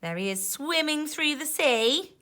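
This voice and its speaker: A woman teacher reading a rhyming picture book to young children in an expressive, sing-song way, with asides to her listeners. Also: high-pitched, measured pace. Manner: animated, emphatic, sing-song rhyming delivery.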